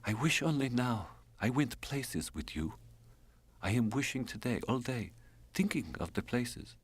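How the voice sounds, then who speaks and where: A man performs a frail foreign woman in an audiobook, lighter and higher in pitch. Her comes in soft, halting, breathy phrases, often near a whisper. She is tender and wistful, recorded very dry. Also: lively intonation, no room sound. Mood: wistful, yearning. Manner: halting, slightly unidiomatic English.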